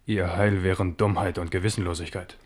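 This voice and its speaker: A man's voice: deep voice, steady tone, brisk. An actor playing a proud, sceptical, embattled French king in a German radio play, with pointed, ironic questioning. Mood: probing.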